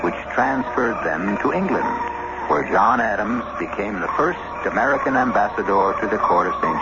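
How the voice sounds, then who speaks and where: A man hosting a radio drama, narrating in a deliberate, conversational storytelling way with pauses. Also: deep voice. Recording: muffled, very noisy old radio recording.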